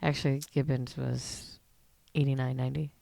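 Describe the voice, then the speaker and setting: A man's voice: mid-range voice, little pitch movement, normal speed, gravelly, a crowd in the background. A man chatting casually on a podcast, dropping brief, wry remarks. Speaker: podcast co-host. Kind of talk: casual banter.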